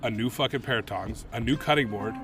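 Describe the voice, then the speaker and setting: A young man, a cook co-hosting a podcast, talking casually with lots of swearing; his voice is low, nasal and mumbling. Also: brisk. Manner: swears a lot, rambling, laughs often. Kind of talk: casual profane chat.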